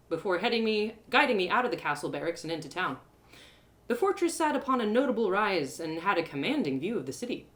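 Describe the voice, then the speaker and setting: A woman reading a first-person fantasy story as an expressive, descriptive storyteller. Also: mid-range voice.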